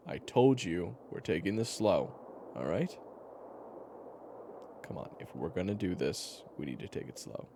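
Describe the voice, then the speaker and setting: A man playing a weary, protective survivor who tells stories in a halting, wry, conversational way for an audio roleplay. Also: low voice. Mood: patient, firm. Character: weary, protective male storyteller survivor, teasing and patient. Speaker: character narrator. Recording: clearly noisy background ambience.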